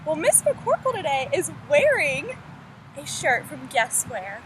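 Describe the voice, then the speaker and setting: Clear outdoor noise surrounds a woman with a fairly high voice. She is a teacher reading a storybook aloud for children. She addresses her young listeners directly as she goes. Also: sing-song, a little slow, a bit bright. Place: outdoors in the open. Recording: noisy windy outdoor recording with traffic.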